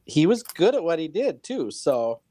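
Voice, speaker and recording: A man's voice: on the high side, very animated, normal speed, mellow, dry recording. A man chatting casually as an easygoing, teasing co-host of a craft livestream. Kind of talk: casual chat.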